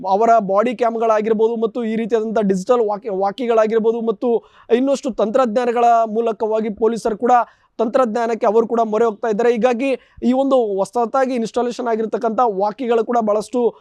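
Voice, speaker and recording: A man giving an unscripted TV news report in a halting, repetitive way with formal phrasing. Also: very high-pitched, fairly even intonation, a little mellow, dry recording.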